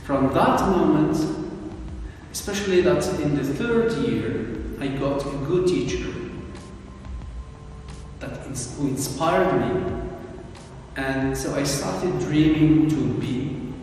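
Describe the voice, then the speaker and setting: An Algerian man, a native Arabic speaker, speaks slowly and deliberately, pausing and restarting as he answers. He sounds far from the mic in a strongly echoing room with a long reverb tail and a faint hiss behind him. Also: on the high side, animated, darkish tone. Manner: slow, measured, with many pauses and restarts. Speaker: English teacher, guest. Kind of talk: interview answers.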